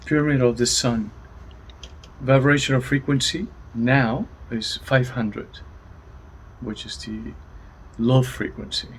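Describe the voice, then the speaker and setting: A man's voice: mid-range voice, steady tone, takes it slowly, low-quality recording. A man co-presenting, reporting readings in brief, matter-of-fact statements. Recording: background ambient noise.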